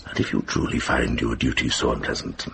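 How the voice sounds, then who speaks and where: An actor playing a wise, middle-aged monk and herbalist in a radio drama, with a dry, wry, measured manner. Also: male voice, a bass voice, level tone, noisy background. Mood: dryly teasing. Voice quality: gravelly.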